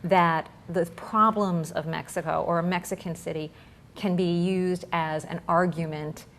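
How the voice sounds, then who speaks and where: A woman's voice: fairly low pitch, steady tone, unhurried, a little hiss or hum. A woman with an American accent hosting an interview, conversational and articulate, with a wry streak and long, carefully built questions. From United States. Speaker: interview host.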